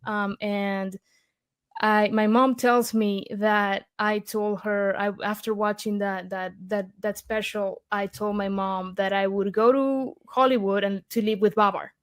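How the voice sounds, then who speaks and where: A woman's voice: medium pitch, steady tone, unhurried, clear, resonant tone. A young woman from Argentina, a guest in an interview, speaking earnestly and from the heart, with many fillers and restarts. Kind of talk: conversational interview.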